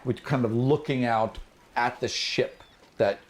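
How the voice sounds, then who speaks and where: A man narrating a tabletop fantasy game as game master, improvising in an animated, conversational way with vocal sound effects. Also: medium pitch, a little slow.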